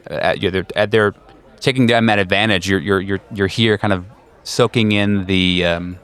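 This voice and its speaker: A man's voice: low voice, steady tone, normal speed, fairly bright tone, clean tone. A man narrating a tabletop horror game as its game master and host.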